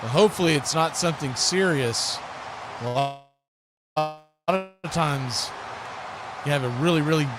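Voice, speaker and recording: A man's voice: on the high side, a little slow, long pauses, music in the background. An American man giving live, unscripted football commentary as a stream host, in a folksy, conversational way. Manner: conversational, folksy, rises to excited shouts on big plays. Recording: clearly noisy live stream background.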